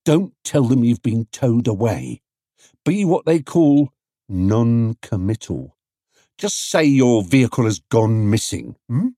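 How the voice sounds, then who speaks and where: A man's voice: medium pitch, lively intonation, slow pace, mellow, dry recording, studio quality. A man voicing chatty male market traders in a storybook, folksy, emphatic and full of advice. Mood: confiding.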